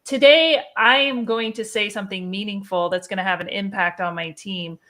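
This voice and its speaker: A woman's voice: medium pitch, moderate pace, a bit bright. A woman guest on a podcast who answers in an earnest, encouraging and emphatic way, as if giving motivational advice.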